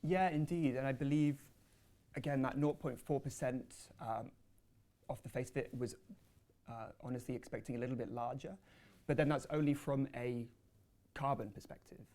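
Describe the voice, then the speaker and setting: A man's voice: mid-range voice, normal speed, a little mellow. A man presenting research and answering audience questions at a panel, speaking hesitantly with many fillers and restarts.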